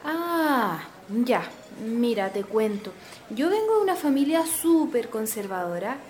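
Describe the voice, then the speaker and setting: An actress plays a young Chilean woman activist in a radio play. She talks casually and frankly in a fairly high voice. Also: very animated, unhurried, a little mellow. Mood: reflective. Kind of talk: natural radio drama dialogue.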